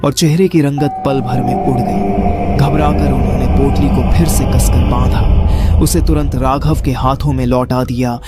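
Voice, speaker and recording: A man narrating a scripted horror story in a dramatic, deliberate storytelling manner. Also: medium pitch, steady tone, over loud music.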